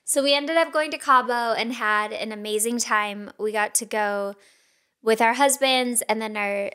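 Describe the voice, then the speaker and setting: A woman's voice: a little high, normal speed, pushed and bright. A woman co-hosting a podcast, telling a story in casual chat, laughing often. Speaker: podcast co-host.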